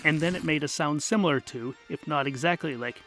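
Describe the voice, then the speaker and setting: Bright forceful guy narrating a funny fantasy story, wry and theatrical, music behind. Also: fairly high pitch, brisk. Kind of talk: comic scripted narration, audio drama storytelling. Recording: music under the voice. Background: background music.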